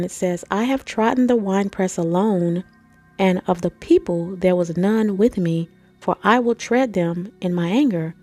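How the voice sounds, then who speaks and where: Stuffy-nosed woman teaching scripture, mellow. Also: mid-range voice. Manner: conversational, addresses viewers as family and guys. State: stuffy nose from allergies. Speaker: Bible teacher and channel host. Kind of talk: scripture reading and informal Bible teaching.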